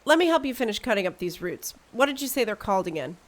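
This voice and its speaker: A woman's voice: medium pitch, big pitch swings, fast, natural voice. A woman playing a sly, scheming crew survivor with a sarcastic, wry manner.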